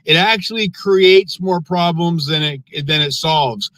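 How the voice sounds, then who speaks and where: A man's voice: on the high side, a little slow, no room sound, poor audio. Man arguing hard in dry online audio. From United States. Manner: forceful, lecturing, repeats words when starting. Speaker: panelist in live audio space. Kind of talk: heated political argument.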